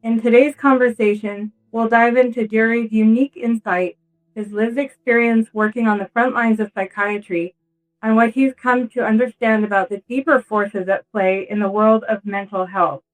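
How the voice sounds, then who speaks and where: High-pitched woman hosting an interview podcast. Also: steady tone, moderate pace, poor audio. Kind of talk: scripted introduction and conversational interview.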